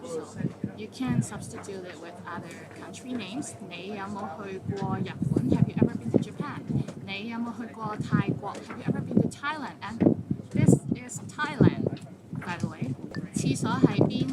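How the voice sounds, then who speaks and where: A woman hosting a casual language lesson, saying each phrase slowly and then explaining it in English. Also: a little high. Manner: explains phrases in English between slow Cantonese examples. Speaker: language teacher. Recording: background noise.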